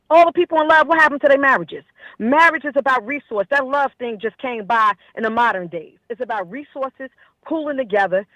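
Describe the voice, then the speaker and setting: A woman's voice: high voice, sharp tone, dry recording, rough recording. A woman caller with a New Jersey accent, blunt and emphatic, repeating her points for effect. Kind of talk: casual call-in chat.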